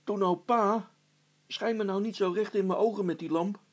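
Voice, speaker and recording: A man voicing an eager, level-headed boy, the son of a handyman, in a storybook, in a matter-of-fact, high-pitched voice. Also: steady tone, normal speed. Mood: pleading.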